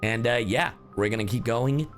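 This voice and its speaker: Guy streamer chatting to his viewers, casual and slangy, middle pitch, bit of music under it. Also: normal speed. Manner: casual, addresses audience with slang and swearing. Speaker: streamer and voice actor. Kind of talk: live game reaction, casual chat with viewers.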